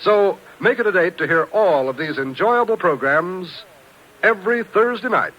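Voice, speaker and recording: A man's voice: high-pitched, lively intonation, normal speed, highs cut off. An American man announcing on 1950s radio in an emphatic, promotional style. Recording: old radio broadcast recording.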